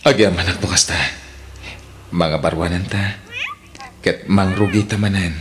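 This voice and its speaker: A man's voice: low-pitched, fairly even intonation, measured pace, slight background noise. A man with an Ilocano accent acting in a radio drama, playing a forgiving, earnest suitor who works abroad. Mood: tender. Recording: radio drama with music underneath.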